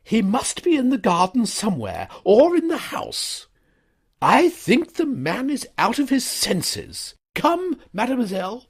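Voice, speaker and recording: A man reading a story, voicing a stern, indignant older headmistress in a shrill, scandalised tone. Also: huge pitch swings, a little slow, husky, exaggerated voice.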